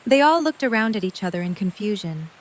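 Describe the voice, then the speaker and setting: A woman reads the narration of an audio drama in a calm, even manner. Her voice sits in the middle range, and faint noise runs beneath it. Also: mid-range voice, fast talker. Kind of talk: scripted narration.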